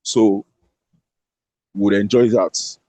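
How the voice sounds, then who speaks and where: A man's voice: lowish voice, steady tone, drawn-out words, dark tone, dry recording, low-quality recording. A man explaining tax rules to an online audience, often repeating words as he searches for them. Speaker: tax speaker.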